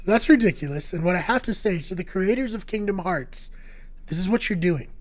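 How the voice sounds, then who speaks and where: A man co-hosting a casual video game podcast, his voice going hoarse, talking in an upbeat, jokey way with rambling anecdotes. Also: high-pitched, expressive, a little fast. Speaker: podcast co-host. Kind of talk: casual chat.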